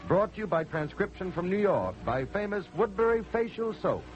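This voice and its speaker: A man's voice: high-pitched, big pitch swings, normal speed, lo-fi sound, faint music behind. A man with an American accent announcing a scripted radio show in an emphatic, formal 1940s showman style. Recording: noisy 1940s radio transcription recording.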